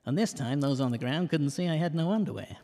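A man playing a cheeky, self-mocking detective who narrates a comic audio drama in rhyme, landing puns deadpan. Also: on the high side, fast. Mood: wry storytelling. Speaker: narrator and lead character. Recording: reverberant hall sound.

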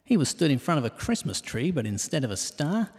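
A man narrating scripted comic rhyming verse in a measured, deliberate storytelling delivery. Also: on the high side, big pitch swings, breathy edge. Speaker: narrator of a comic detective podcast. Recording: long reverberant hall echo.

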